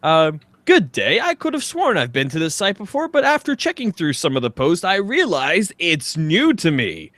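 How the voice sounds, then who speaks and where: Lively, higher-pitched man, close dry sound. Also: moderate pace, few pauses, dry recording. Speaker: podcast co-host. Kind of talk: casual banter and comic readings.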